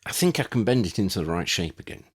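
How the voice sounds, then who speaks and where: A man's voice: low voice, sing-song, quick pace, breathy edge, exaggerated voice. A man voicing a cool, cautious, matter-of-fact young man in an audiobook. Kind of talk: audiobook character voice.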